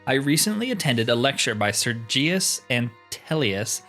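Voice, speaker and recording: A man's voice: mid-range voice, big pitch swings, unhurried, bright, forceful tone, soft background music, full character voice. A man voicing a formal, earnest, devout cult priest who hands out quests in a fantasy game.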